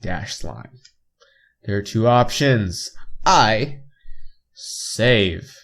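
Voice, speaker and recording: A man's voice: lowish voice, animated, very slow, creaky, fairly bright tone. A man reading visual novel narration aloud with playful, casual asides. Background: game music.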